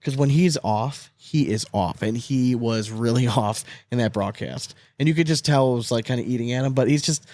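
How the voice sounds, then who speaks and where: A man in a relaxed podcast conversation, talking candidly and swearing freely. His voice sits at an ordinary middle pitch. The sound is dry and close to the mic, with no room around it. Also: moderate pace, few pauses, no echo. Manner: loose and candid, swears freely, laughs between points. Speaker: podcast co-host. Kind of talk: casual sports chat.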